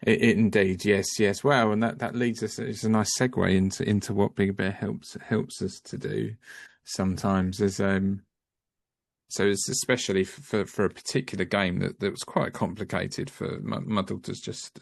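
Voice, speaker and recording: A man with a low, gravelly voice chats as a guest over a video call. He rambles and restarts his sentences, and he sometimes apologizes for it. The sound is dry and close. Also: dry recording. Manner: rambling, self-interrupting, apologetic. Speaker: guest, home-educating parent. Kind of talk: casual video call chat.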